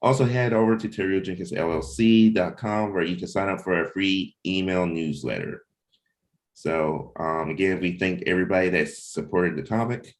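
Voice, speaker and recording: Very deep-voiced man, casual and hesitant. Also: moderate pace. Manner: informal, hesitant, thinking aloud. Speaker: host and comic creator leading a cast meeting. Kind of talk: casual livestream team chat.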